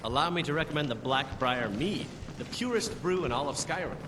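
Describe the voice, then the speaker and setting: A man plays a proud fantasy game merchant with a fairly high male voice. He is welcoming and formal, in a reverberant space with clear noise. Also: expressive, fairly quick, natural voice. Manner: salesmanlike, formal. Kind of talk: fantasy video game character acting. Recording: noisy, long reverberant hall echo.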